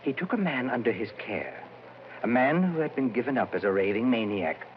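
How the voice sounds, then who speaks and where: An actor playing an eerie, sorrowful dead madman poet with a slow, portentous, haunting delivery in old-time radio horror style. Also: medium pitch, expressive, lightly acted. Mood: solemn, sorrowful. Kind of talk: 1940s radio horror acting. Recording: muffled, hissy old radio recording.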